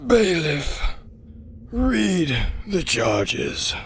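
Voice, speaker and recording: A woman playing an imperious mole royal judge of an underground court in an adventure audio drama, with drawn-out, halting commands. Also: lowish voice, very animated, husky, no echo, heavily acted.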